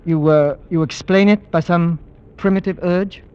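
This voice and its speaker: Actor as brisk, decisive hunter, higher-pitched male. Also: fairly even intonation, darkish tone, clear, resonant tone, natural voice. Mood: skeptical. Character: brisk, decisive gentleman planning a werewolf hunt. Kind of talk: 1940s radio acting. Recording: dull old radio recording.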